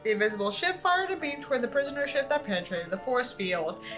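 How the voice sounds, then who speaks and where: High female voice, very expressive swings, read-aloud with casual commentary, irreverent, exasperated, sweary. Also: fast talker, faint music behind. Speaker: YouTuber reading a book aloud with commentary.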